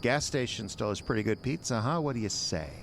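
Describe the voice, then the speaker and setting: An actor playing an unstable, clingy, self-pitying ex-boyfriend in an audio drama, halting and stammering as he wheedles. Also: medium pitch, creaky, a little hiss or hum, natural voice. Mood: drunk, pleading.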